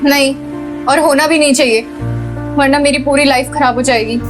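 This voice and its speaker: A woman's voice: sits high, fairly even intonation, moderate pace, over music, close to an everyday voice. An actress playing a haughty, impatient rich young woman in an audio drama, speaking in a curt, condescending way. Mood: explaining, condescending. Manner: curt, condescending, mixes in English.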